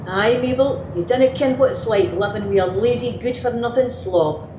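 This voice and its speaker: A woman's voice: a little high, normal speed, natural voice. A woman playing a gossipy, complaining working-class Scottish wife in a radio play monologue. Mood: indignant grumbling. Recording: roomy, noisy remote home recording.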